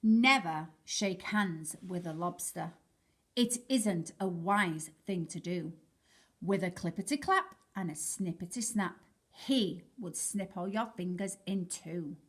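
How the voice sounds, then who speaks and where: A woman reading a rhyming children's picture book aloud in an expressive storytelling style. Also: on the low side, slow.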